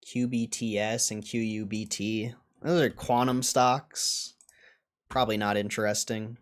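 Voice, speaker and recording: A man's voice: fairly low pitch, level tone, measured pace. A man hosting a livestream in a casual, blunt and dismissive way, swearing now and then as he answers chat. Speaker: livestream host.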